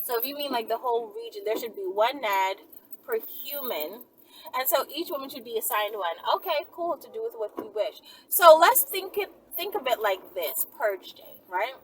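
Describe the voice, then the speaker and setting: A woman's voice: on the high side, expressive, moderate pace. A woman host talking casually to her viewers in an emphatic, sassy way, often checking in as she goes. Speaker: channel host answering viewer questions. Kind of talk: casual, animated monologue to viewers. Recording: noisy, distant-sounding mic.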